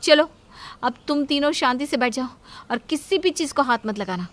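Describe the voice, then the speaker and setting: High-pitched, exasperated young daughter-in-law voiced by a woman. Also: animated, moderate pace, fairly bright tone. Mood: annoyed. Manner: complaining, indignant. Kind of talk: Hindi cartoon story acting.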